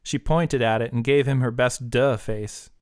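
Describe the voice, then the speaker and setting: A man's voice: mid-range voice, fairly even intonation, normal speed, clear, resonant tone, dry recording, studio quality. A man reading a short story as scripted audiobook narration.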